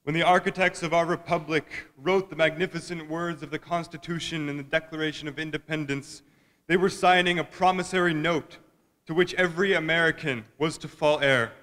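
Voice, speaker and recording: A man reads a speech in a fairly high voice. He gives it as formal, dramatic oratory in a hall with long reverb. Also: steady tone, fairly quick, a bit bright. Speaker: guest reader at a commemorative event. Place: large indoor hall at a college event.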